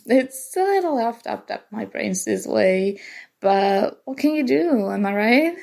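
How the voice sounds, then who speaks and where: Woman playing a flustered nerdy college roommate, highish creaky voice, young, halting, self-deprecating, ASMR roleplay. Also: lively intonation, a little mellow. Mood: eager, geeky rambling. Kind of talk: ASMR roleplay, acted casual conversation.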